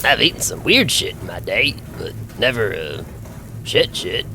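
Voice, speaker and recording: A man playing a gruff, crude, hesitant alien in an audio drama. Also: on the low side, very animated, measured pace, bright, forceful tone, a little hiss or hum, exaggerated voice. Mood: baffled. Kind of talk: scripted audio drama dialogue.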